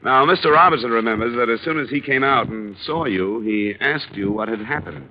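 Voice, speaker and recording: A man's voice: mid-range voice, brisk, noisy background, close to an everyday voice. An actor playing a wry, hard-boiled male insurance investigator in a 1950s radio drama, laconic and probing as he questions people. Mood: dry. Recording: dull lo-fi old radio broadcast with music.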